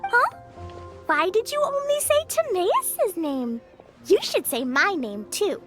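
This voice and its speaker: Squeaky, bubbly little girl. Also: very animated, takes it slowly, exaggerated voice. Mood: pouty. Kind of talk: animated video game voice acting. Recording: loud background music under the voice.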